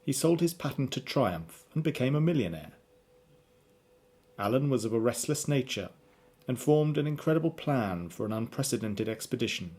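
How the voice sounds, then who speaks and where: A man narrates a radio drama from a script. His voice has a husky, slightly rough grain and sits at a medium pitch. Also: very animated, normal speed, darkish tone.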